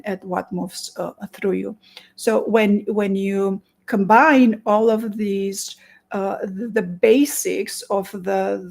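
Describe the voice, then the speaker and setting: A woman from Romania with a Romanian accent, a therapist giving an earnest promotional talk, with many restarts and stretched pauses. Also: mid-range voice, gravelly, darkish tone. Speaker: therapist and conference presenter.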